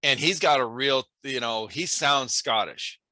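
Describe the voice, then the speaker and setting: A man's voice: mid-range voice, a little slow, pushed and bright, dry recording. An American man hosting a podcast, chatting in a laid-back way and calling his guest "bro". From United States. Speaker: podcast host. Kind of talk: casual chat.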